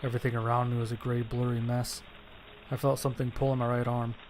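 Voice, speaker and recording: A man's voice: mid-range voice, level tone, fast talker, a little mellow, slight background noise, lightly acted, poor audio. A man playing an injured survivor who narrates his own story in scripted horror audio drama style. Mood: somber storytelling. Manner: scripted, descriptive storytelling with casual profanity.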